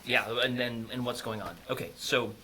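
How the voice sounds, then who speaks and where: A man's voice: mid-range voice, steady tone, moderate pace, bright, forceful tone, a little hiss or hum, low-quality recording. A man playing a flustered teacher on a video call, hedging and stumbling through filler words. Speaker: teacher in a comedy sketch. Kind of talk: improvised comedy sketch on a video call.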